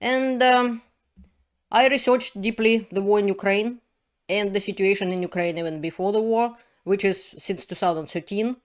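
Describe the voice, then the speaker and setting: A woman's voice: mid-range voice, normal speed. Brief: Russian-accented woman, non-native speaker, channel host, unscripted commentary, restarts and loose grammar. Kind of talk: casual commentary to camera.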